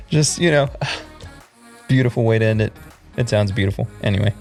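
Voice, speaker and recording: A man hosting a podcast in casual chat, animated and laughing often. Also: fairly low pitch, normal speed, gravelly, faint music behind. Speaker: podcast host.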